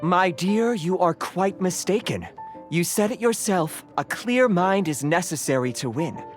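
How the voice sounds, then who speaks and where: A man's voice: high-pitched, lively intonation, unhurried, over music. An actor playing a pompous, formal young man devoted to his cat, speaking in a flowery style in a game. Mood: lecturing.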